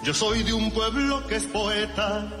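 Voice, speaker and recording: A man singing a folk song slowly and earnestly on a played-back music recording. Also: sits high, echoey room. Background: loud instrumental accompaniment.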